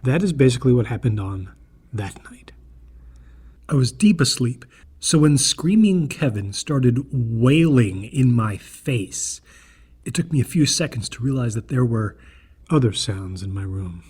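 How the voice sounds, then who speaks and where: A man reading a scripted first-person horror story in a deliberate, earnest manner, with a slightly husky voice. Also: mid-range voice, expressive, a little mellow.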